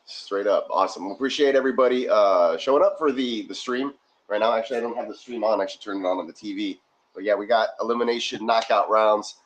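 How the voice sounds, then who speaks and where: A man co-hosting a casual sports watch-party livestream from his garage, chatty and quick to laugh. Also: medium pitch, rough recording. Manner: laughs often, rambling and chatty. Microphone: phone.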